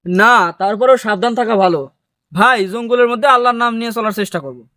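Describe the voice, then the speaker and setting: A man's voice: high-pitched, brisk, pushed and bright, dry recording, studio quality. A man playing a poor, cautious woodcutter, earnest and rustic. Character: poor village woodcutter, anxious, cautious, pious.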